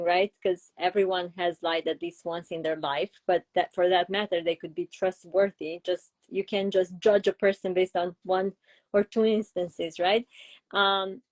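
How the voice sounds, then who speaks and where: A middle-aged woman from Romania with a Romanian accent, a child psychologist being interviewed, explaining ideas through examples with frequent fillers. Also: on the low side. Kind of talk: interview conversation.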